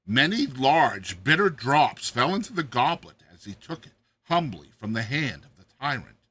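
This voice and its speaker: A man's voice: medium pitch, sing-song, normal speed, bright, forceful tone, husky. A man narrating a classic tale as a dramatized reading, theatrical and emphatic.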